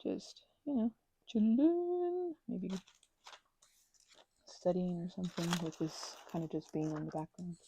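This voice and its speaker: A woman hosting an ASMR channel who drifts between whispers and soft, self-mocking chatter while she crafts. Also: lowish voice, measured pace, gravelly, pauses often, slight background noise. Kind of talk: soft-spoken crafting ramble.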